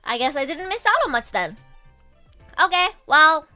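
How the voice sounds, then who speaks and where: A woman streamer chatting casually with her viewers, animated and swearing freely, her throat a bit sore from a coming cold. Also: sits high, moderate pace, faint music behind. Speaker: VTuber streamer and voice actor. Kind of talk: casual livestream chat.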